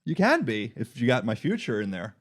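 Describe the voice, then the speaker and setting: A man's voice: mid-range voice, wildly swooping pitch, brisk. Wry man hosting a comedy podcast. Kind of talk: joking casual interview.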